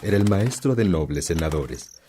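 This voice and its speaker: A man acting a fatherly, learned elder priest giving expressive counsel in a religious radio drama. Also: low voice, moderate pace, slight background noise. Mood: fatherly, admiring.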